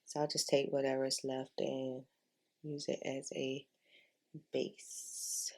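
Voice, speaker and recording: A woman's voice: a bass voice, level tone, slow pace, darkish tone. A woman talking in a casual, chatty way as a beauty vlogger giving a makeup tutorial, informal and repeating herself for emphasis.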